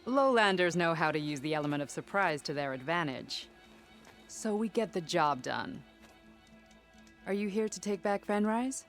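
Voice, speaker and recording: Low-voiced, confident female squad leader. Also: animated, normal speed, faint music behind. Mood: assured. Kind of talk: video game cutscene acting.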